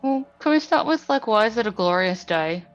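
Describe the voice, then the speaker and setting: A woman's voice: medium pitch, expressive, brisk. A woman playing a tabletop game, chatting casually and questioning the story. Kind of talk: casual chat. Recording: slightly dull sound with music behind.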